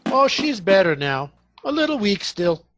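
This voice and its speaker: An actor playing a genial, folksy, deferential police officer in a radio comedy. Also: male voice, sits high, sing-song, normal speed, music in the background. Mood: kindly, deferential. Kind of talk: radio comedy theatre acting.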